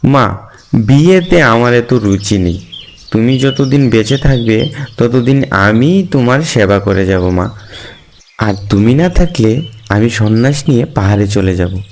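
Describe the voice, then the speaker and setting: A man voicing a devoted, earnest adult son in an animated folk tale, speaking emphatically. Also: medium pitch, a little slow. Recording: slight background noise.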